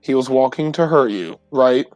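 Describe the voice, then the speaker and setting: A man's voice: mid-range voice, expressive, normal speed, darkish tone, no echo, rough recording. A man playing in a tabletop roleplay, chatting casually and speaking for his character. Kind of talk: casual in-character game chat. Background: faint music.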